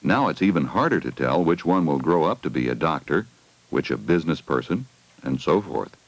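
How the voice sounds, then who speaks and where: A man's voice: extremely low pitch, big pitch swings, moderate pace, no room sound, low-quality recording. A man reading a scripted educational film narration for children, putting simple questions to them, on a 1980 film soundtrack.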